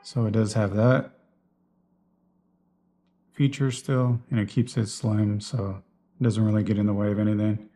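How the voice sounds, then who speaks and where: A man's voice: on the low side, flat delivery, measured pace, long pauses, dark tone. A man giving a casual, unscripted walkthrough of his gear in a plain, explanatory way, like a vlogger. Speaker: vlogger presenting personal radio gear.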